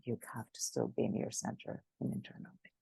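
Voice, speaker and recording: A woman speaking as the expert guest in an interview, animated and emphatic, often restarting her sentences. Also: a little fast, dry recording. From Greece. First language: Greek. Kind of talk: conversational interview.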